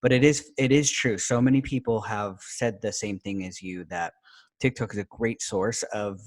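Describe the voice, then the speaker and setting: A middle-aged man hosts a casual interview in a slightly low voice. He talks with enthusiasm and rambles a little, dropping "like" and "you know" all through his sentences. His tone stays friendly and relaxed. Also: moderate pace. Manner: enthusiastic, chatty, many fillers like "like" and "you know". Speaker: podcast host.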